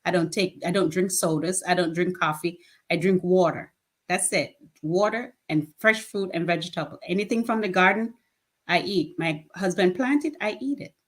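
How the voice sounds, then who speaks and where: Woman, 50s, lower register, plain-spoken interview guest speaking in short, emphatic statements. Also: moderate pace. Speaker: guest, children's charity founder. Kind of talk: conversational interview answers.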